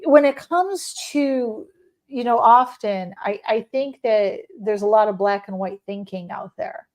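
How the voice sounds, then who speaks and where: A woman's voice: medium pitch, a little slow, a little mellow. A woman with a Chicago accent hosting a conversational interview, reflective and meandering as she rephrases her questions. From Chicago, United States. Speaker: podcast host.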